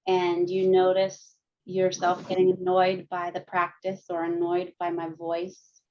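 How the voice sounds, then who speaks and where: A woman counselor talks a support group through breathing and easy stretches in a calm, gentle way, laughing lightly now and then. Her voice sits a little low. The sound is a dull, lo-fi video call. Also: a little slow, slight background noise. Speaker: counselor and guest speaker. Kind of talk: guided mindfulness and yoga instruction. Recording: muffled lo-fi video call audio.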